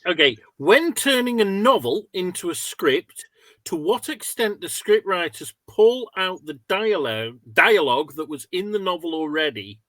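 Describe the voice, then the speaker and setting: Male host, fairly high and forward bright voice, informal, relaying audience questions. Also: big pitch swings, normal speed, no room sound. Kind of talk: informal hosting.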